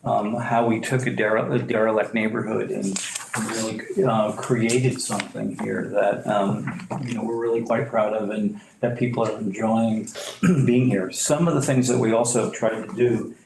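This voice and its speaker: A developer presenting to a public board meeting, halting with many fillers and restarts, clearing his throat as he loses his voice. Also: fairly low pitch, fairly even intonation. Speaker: property developer. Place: meeting room. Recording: echoey room, faint noise.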